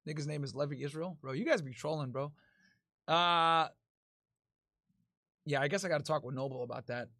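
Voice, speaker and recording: A man's voice: fairly high pitch, moderate pace, long pauses, pushed and bright, dry recording, clean studio sound. A man in his thirties hosting a livestream, laid-back and tired, reading viewer messages with crude slang and jokes. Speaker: livestream host. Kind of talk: casual livestream chat reading super chats.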